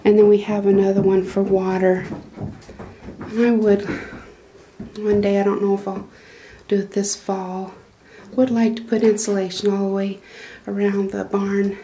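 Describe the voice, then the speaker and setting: A woman's voice: mid-range voice, little pitch movement, measured pace, dark tone, slight background noise. A middle-aged woman, giving a rambling, homespun tour of her small wooden goat barn with lots of fillers and restarts. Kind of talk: casual walkthrough narration. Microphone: handheld phone camera.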